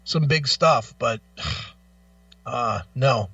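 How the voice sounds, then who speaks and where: A man's voice: mid-range voice, drawn-out words, sharp tone, far from the mic, dull audio. A man hosting a talk show with blunt, emphatic, opinionated commentary, casual in style and repeating phrases for stress.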